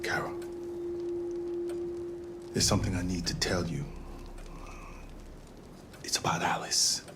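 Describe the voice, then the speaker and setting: A man playing a calm, caring male android companion in a cinematic game, measured and concerned. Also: low-pitched, very noisy. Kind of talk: cinematic video game acting. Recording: loud score over the voice.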